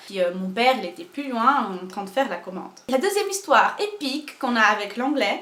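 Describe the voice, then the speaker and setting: A woman's voice: sits high, roomy sound. Nervous, chatty Portuguese-accented young woman. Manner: nervous, self-mocking, animated. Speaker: vlogger. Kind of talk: casual storytelling vlog. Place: home room.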